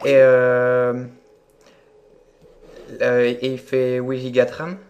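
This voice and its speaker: A man with an average-pitched voice talks on the phone as a prank caller posing as a buyer. He is deadpan and hesitant, yet keeps pushing with his questions in an unscripted way. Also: drawn-out words.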